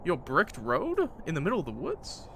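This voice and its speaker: A man's voice: a little high, huge pitch swings, moderate pace, creaky, a bit bright, slight background noise. A man streaming a game with casual, jokey, slangy commentary. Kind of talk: casual commentary mixed with read-aloud narration.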